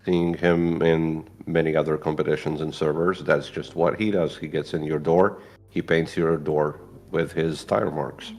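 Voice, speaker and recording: A man's voice: very deep voice, steady tone, unhurried, darkish tone. A man from Latvia giving casual race commentary as the second voice on an esports broadcast. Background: music.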